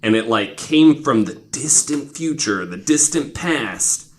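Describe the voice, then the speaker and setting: A man's voice: mid-range voice, animated, slow, a little hiss or hum. A man hosting a podcast, telling stories casually to co-hosts in an informal, wryly skeptical way with some swearing. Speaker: podcast host.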